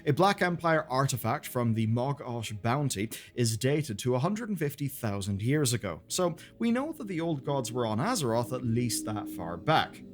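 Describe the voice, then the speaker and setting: A man hosting a gaming video, reading scripted narration in a conversational, slangy way with casual asides. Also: mid-range voice. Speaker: host of a gaming lore video channel. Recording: music playing behind the voice. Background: music.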